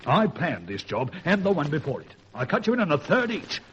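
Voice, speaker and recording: A dull, hissy old radio crime play. a ruthless British master thief, cold and scornful. His delivery is commanding and articulate, swinging widely in pitch. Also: sing-song, fairly quick. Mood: furious, scornful. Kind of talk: vintage radio crime drama acting.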